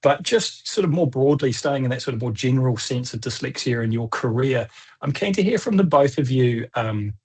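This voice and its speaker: A man's voice: mid-range voice, lively intonation, normal speed, a little mellow, husky. A man moderating a webinar panel over a video call, asking long, conversational questions.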